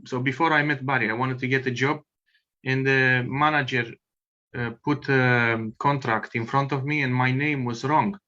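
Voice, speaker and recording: A man from Romania with a Romanian accent, speaking slowly and hesitantly with many ums on a video call. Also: medium pitch, fairly even intonation, bright, forceful tone, highs cut off. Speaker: meeting participant.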